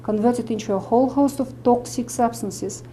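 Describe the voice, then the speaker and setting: Russian-accented woman doctor, mellow voice. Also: fairly high pitch, normal speed, a little hiss or hum, rough recording. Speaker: medical doctor and nutritionist. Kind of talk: explaining to camera.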